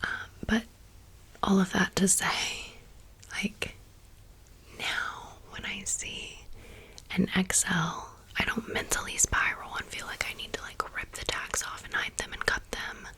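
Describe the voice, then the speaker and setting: 30s woman whispering asmr, slow and kinda gravelly, chatty and blunt. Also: medium pitch, fairly even intonation, sharp tone, poor audio. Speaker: ASMR creator. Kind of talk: whispered ASMR show-and-tell. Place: home room with fridge and outside noise.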